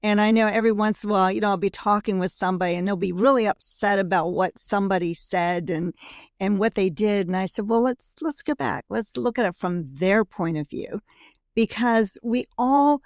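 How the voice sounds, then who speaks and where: A woman's voice: medium pitch, normal speed, dry recording, studio quality. A woman who works as a coach, telling personal stories as a podcast guest, with frequent "you know" fillers. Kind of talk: conversational interview storytelling.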